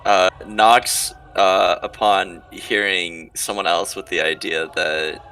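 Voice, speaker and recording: A man's voice: low voice, flat delivery, slow pace, pushed and bright, a little hiss or hum. A man playing a tabletop game, casually describing what his character does. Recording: distant mic, faint music behind. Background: faint music.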